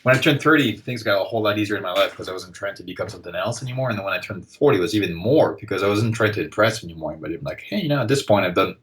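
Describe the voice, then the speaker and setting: A man in his forties, a guest artist chatting casually on a podcast, relaxed and rambling with lots of fillers. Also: lowish voice, very fast, few pauses, a little hiss or hum. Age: 40s.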